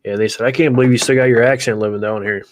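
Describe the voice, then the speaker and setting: A relaxed man with a Wisconsin accent hosts a casual livestream chat, rambling along in an easygoing way and laughing often. His voice sits at an ordinary pitch. The sound is close and dry, recorded in a small home studio. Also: racing, no room sound. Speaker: livestream host.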